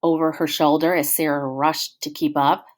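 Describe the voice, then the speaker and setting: A woman narrating a children's picture book aloud in an expressive read-aloud style. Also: low-pitched, moderate pace. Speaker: storybook reader.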